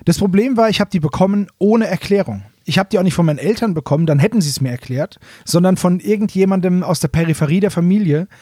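A man's voice: sits high, fast, few pauses, warm tone, husky, no room sound, clean studio sound. A man co-hosting a podcast in a casual, chatty way, sprinkling English phrases into his talk. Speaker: podcast co-host.

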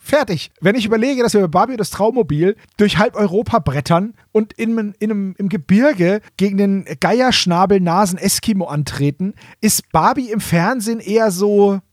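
Male podcast co-host, slightly high voice, dry recording, ironic and playful, chatty delivery. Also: normal speed, hardly any pauses, no echo. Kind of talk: casual chat.

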